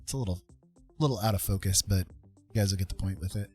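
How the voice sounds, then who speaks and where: A man's voice: sits low, fast, a little mellow, soft background music. A man hosting a casual live art stream, chatting to viewers in a rambling, hesitant way and often repeating himself. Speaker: live stream host and artist.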